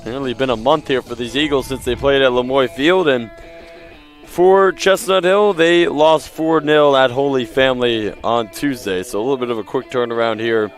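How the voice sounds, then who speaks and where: A male play-by-play caller for a college soccer game, his pitch sitting right in the ordinary middle. He works through standings and recent results in a steady, stat-heavy flow. When he gets a detail wrong, he stops and fixes it on air. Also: moderate pace, faint music behind. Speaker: play-by-play commentator. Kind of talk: live sports commentary.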